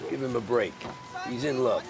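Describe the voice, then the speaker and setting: A man playing an easygoing, soft-hearted mobster in a video game. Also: medium pitch, moderate pace, loud music behind the voice, lightly acted. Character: easygoing, soft-hearted male mob driver. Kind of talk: dramatic video game cutscene acting.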